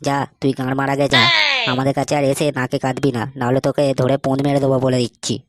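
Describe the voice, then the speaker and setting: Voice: mid-range voice, completely flat delivery, fast, lightly acted. An actor voicing a mocking young male buddy in a comedy cartoon, teasing and preachy, with vulgar talk. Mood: indignant. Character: mocking, crude young male buddy, cheeky know-it-all.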